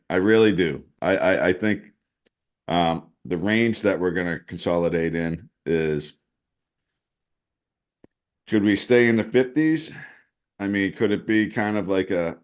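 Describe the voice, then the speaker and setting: A man co-hosting a casual show, talking through charts and thinking aloud with many restarts. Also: on the low side. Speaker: co-host, chart analyst. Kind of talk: casual crypto chat over screen-shared charts.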